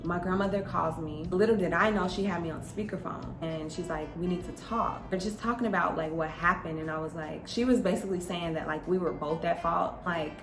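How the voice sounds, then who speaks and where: A young woman with a slightly low voice tells a personal story like a vlogger talking to viewers. Her delivery is conversational and emphatic. The room sounds echoey, and music plays behind her. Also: fairly quick, few pauses. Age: young adult.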